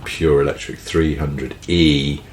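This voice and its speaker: A man co-hosting a podcast in casual, rambling chat, quick to laugh, his voice low and tired from two days of talking, recorded inside a caravan. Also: little pitch movement, darkish tone, roomy sound. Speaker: podcast co-host. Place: inside a large caravan in a field.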